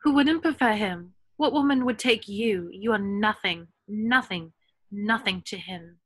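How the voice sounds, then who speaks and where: A woman's voice: medium pitch, unhurried, fairly bright tone, slightly rough, no echo. Taunting young female phantom, acted by a woman: cruel, scornful, dry sound. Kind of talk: amateur screenplay table read.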